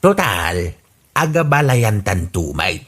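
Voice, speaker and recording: A man's voice: sing-song, slow pace, a bit bright, heavily acted. A man playing a lecturing husband named Ramos in an Ilocano radio drama. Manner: lecturing, swinging pitch. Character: preachy older husband Ramos.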